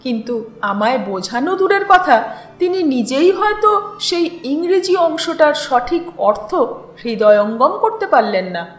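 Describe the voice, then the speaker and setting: A woman reads a literary story aloud in an expressive, deliberate narrating style. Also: high voice. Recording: roomy echo with music behind. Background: music.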